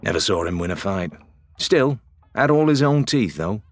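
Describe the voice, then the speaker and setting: A man voicing a cheerful pirate quartermaster in an audiobook, chatty and nonchalant with deadpan humour. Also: lowish voice, moderate pace, a little hiss or hum. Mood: deadpan joking.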